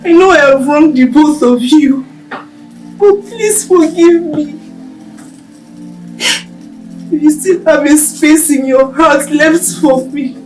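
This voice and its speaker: A woman's voice: sits high, fairly even intonation, takes it slowly, a little mellow, far from the mic, soft background music, low-quality recording. A woman with a Nigerian accent acting a remorseful, tearful young friend begging in a melodramatic film. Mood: tearful pleading.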